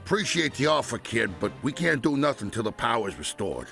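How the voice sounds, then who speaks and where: An actor playing a grumpy blue-collar union repairman in a video game, griping and wisecracking in a gravelly voice. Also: mid-range voice, very animated, brisk, bright, forceful tone, faint music behind, exaggerated voice. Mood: grumbling. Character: grumpy working-class union repairman, put-upon griper. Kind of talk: animated video game cutscene acting.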